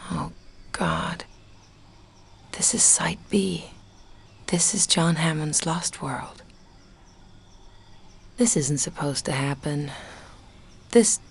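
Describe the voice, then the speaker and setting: A woman's voice: sits low, vocal fry, slightly rough, full character voice. A stranded, anxious young woman. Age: young adult. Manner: wry, talking to herself. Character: young woman stranded on a dinosaur island, frightened. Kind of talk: game dialogue and narration.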